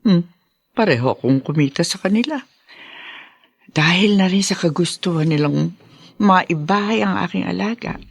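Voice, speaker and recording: An actress playing a scheming, greedy older brothel madam in a Filipino radio drama, with a creaky voice and a sly drawl punctuated by hums. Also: female voice, lowish voice, expressive, normal speed, long pauses, no echo, full character voice. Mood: gloating, scheming.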